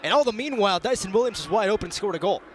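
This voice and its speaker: A man's voice: sits high, expressive, rapid-fire. A young man giving emphatic, conversational color commentary during a live sports broadcast. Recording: outdoor broadcast with faint background noise.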